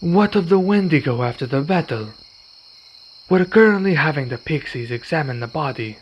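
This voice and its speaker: A man playing a weary, courteous vampire in an ASMR roleplay, speaking in formal, measured phrases. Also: on the high side, animated, slightly rough. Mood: reassuring. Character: weary, courteous male vampire, wounded but calm. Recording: dull recording with night insect noise. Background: crickets and night insects.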